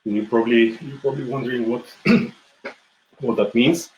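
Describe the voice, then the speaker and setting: A young man from Bulgaria teaching a folk tune in a casual live online lesson, talking in a relaxed, halting way with many fillers and restarts. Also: medium pitch, lo-fi sound, slight background noise. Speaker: music teacher. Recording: echoey room with faint ambience.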